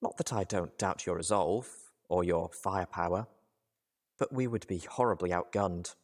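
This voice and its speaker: A man voicing a poised, cool-headed female pony in a story reading, speaking in a measured and gently reproving way. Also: low-pitched. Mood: pained, resigned.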